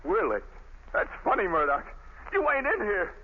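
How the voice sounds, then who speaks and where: Doomed young convict, high, volatile, tinny vintage radio sound. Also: male voice, sing-song, moderate pace. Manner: swings from hushed dread to shouting. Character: young condemned male killer, desperate and bitter. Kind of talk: 1930s radio drama acting. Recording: tinny old radio broadcast, faint hiss.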